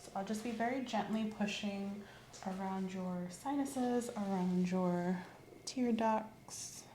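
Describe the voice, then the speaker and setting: A woman doing an ASMR role-play as a medical examiner, giving slow, gentle instructions that sometimes drop to a whisper. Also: mid-range voice, roomy sound, a little hiss or hum. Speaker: ASMR creator playing an examiner.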